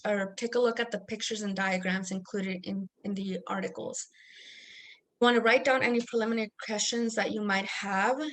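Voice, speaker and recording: A young woman from Mexico, a native Spanish speaker, giving an online workshop as an academic coach in a friendly, slightly halting way. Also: mid-range voice. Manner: friendly, lightly halting with fillers.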